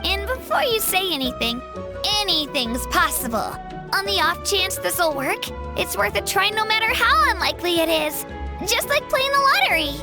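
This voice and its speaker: A woman's voice: very high-pitched, sing-song, normal speed, exaggerated voice. A woman voicing an earnest, polite, thoughtful little girl in a video game. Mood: hopeful. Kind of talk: video game character dialogue. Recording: faint music behind the voice.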